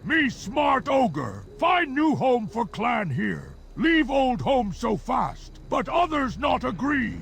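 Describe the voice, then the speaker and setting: A man's voice: extremely high pitch, very animated, slow, creaky, a bit bright, a little hiss or hum, exaggerated voice. A man voicing a dim, blustering ogre chief in a video game, speaking emphatically in broken grammar. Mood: defiant, pleading. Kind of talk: video game character acting.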